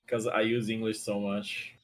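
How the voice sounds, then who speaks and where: A man's voice: on the low side, flat delivery, normal speed, a little hiss or hum. A man from Brazil whose first language is Portuguese, chatting casually on a stream. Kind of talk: casual chat on a livestream.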